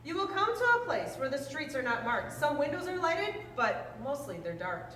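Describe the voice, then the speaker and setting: A woman reading a children's rhyming book aloud in a lively, sing-song way. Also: sits high, moderate pace. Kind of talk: read-aloud storytelling. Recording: roomy, distant mic, faint noise.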